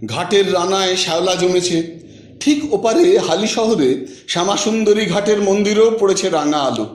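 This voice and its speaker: A man reading a literary story aloud as an audiobook narrator, with measured, dramatic phrasing and deliberate pauses. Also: high voice. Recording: roomy echo, distant from microphone.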